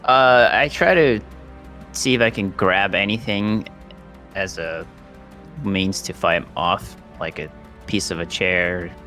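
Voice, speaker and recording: A man chats as a player in a tabletop roleplaying game. His voice is deep in pitch yet bright and forceful, with faint music underneath. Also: takes it slowly. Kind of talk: casual chat.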